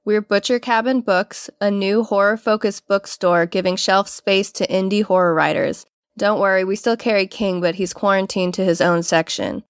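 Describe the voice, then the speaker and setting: A woman with a smooth, clear voice reads a scripted ad in an upbeat way. The recording is dry and close. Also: medium pitch, steady tone, moderate pace, no echo. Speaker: ad announcer. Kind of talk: scripted ad read.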